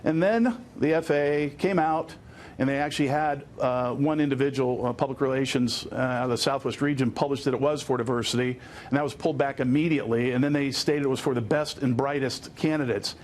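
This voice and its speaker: A man in his fifties with an American accent, explaining as a guest in a live news interview, with frequent pauses. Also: medium pitch, steady tone, a little mellow, a little hiss or hum. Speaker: interview guest, aviation attorney.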